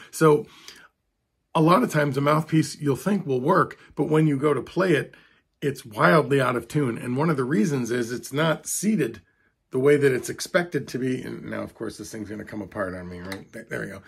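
A man with a hoarse, gravelly voice chats to his camera in a rambling, self-deprecating way. His tone is rough and husky throughout, with plenty of fry at the ends of phrases. He speaks into a close mic in a small room at home. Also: mid-range voice. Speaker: trumpet hobbyist vlogger. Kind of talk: casual talk to camera. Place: home music room, indoors. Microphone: studio mic beside camera.

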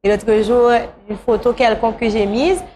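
A woman's voice: on the high side, normal speed, dark tone, a little hiss or hum. A woman, speaking conversationally as a guest in a talk show interview. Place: small talk show studio, on a couch.

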